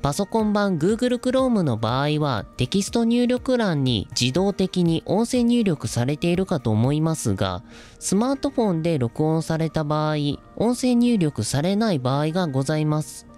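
A man's voice: fairly high pitch, very animated, moderate pace, darkish tone. A man of thirty-one with a youthful, feminine-sounding voice, presenting a scripted explainer politely to viewers. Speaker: video host. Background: background music.

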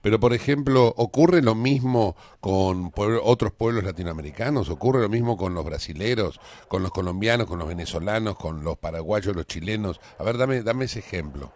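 A man's voice: lowish voice, moderate pace, hardly any pauses, darkish tone, dry recording. A middle-aged man with an Argentine accent hosting a radio interview in a studio, asking probing questions with hesitant fillers. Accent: Argentine Spanish. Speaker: radio host. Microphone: studio boom mic.

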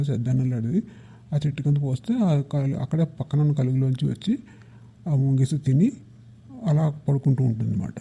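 Very mellow, husky man telling stories. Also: a little high. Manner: conversational, explanatory, addressing young listeners. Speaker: storyteller speaking as a teacher to children. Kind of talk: informal moral storytelling. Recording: muffled, dull lo-fi audio.

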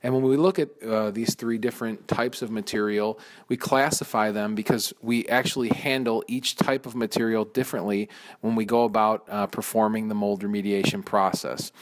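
A man, a mold removal contractor walking through a job and explaining the work step by step, with frequent pauses and restarts. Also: on the low side, steady tone. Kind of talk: informal walkthrough narration.

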